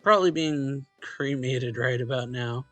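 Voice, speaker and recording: A man's voice: medium pitch, a little slow, a bit bright, soft background music. A man hosting a let's play, reading a visual novel's narration and dialogue aloud and casually acting out the lines.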